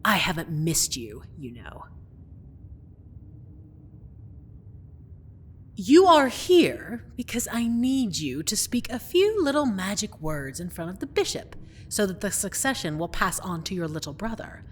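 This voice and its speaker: A woman playing a cold, scheming royal stepmother in a fantasy audio roleplay, mockingly sweet and condescending, with drawn-out taunts. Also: medium pitch, very animated, a little hiss or hum, close to an everyday voice. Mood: mocking, haughty.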